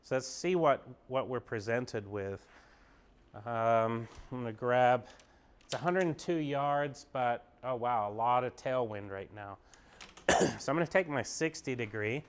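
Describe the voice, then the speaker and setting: A man with a smooth, resonant voice and a middle pitch talks in a relaxed, upbeat way. He explains each screen of an indoor golf simulator bay as he clicks through it, like a golf pro giving a casual tour. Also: measured pace. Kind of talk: casual walkthrough demo.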